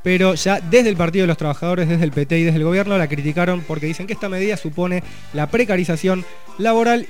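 Male radio host, fairly high voice, easygoing news chat over faint music. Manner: relaxed, conversational, reads news items with asides.

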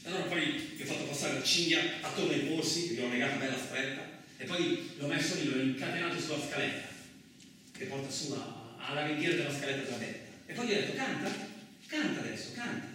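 A man's voice: fairly high pitch, fast, bright, forceful tone. A man playing a boastful, hot-tempered truck driver, in a colloquial theatrical radio monologue full of muttered asides. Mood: boastful, confiding, then taunting, menacing. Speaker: actor. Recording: very echoey, distant, lightly noisy room.

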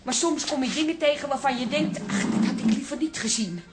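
A woman's voice: high-pitched, a little fast, slight background noise, close to an everyday voice. An actress playing a stubborn, sarcastic café landlady in a radio comedy, blunt. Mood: weary. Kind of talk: radio comedy drama acting.

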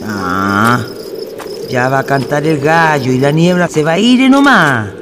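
A man's voice: on the high side, sing-song, measured pace, background noise. A man playing a bossy rustic night traveller in a horror radio drama. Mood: firm, reassuring.

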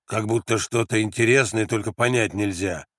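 Man voicing a blunt, bossy peasant woman, low-pitched. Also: moderate pace, a bit bright, no room sound, studio quality. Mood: curt, bossy. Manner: curt, scolding.

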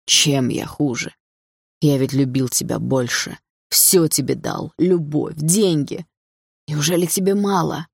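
Woman voicing a menacing, manipulative husband, low-pitched. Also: fairly even intonation, measured pace, creaky, husky, no room sound, studio quality, full character voice. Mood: angry, bitter. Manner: insinuating, gloating delivery. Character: menacing manipulative husband, obsessive, smug, cruel villain. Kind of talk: audiobook character dialogue.

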